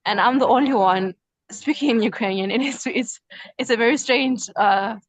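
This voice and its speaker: A woman's voice: a little high, moderate pace, no echo, rough recording. A young woman from Zimbabwe, a medical student, answering interview questions with many hesitant fillers. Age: young adult.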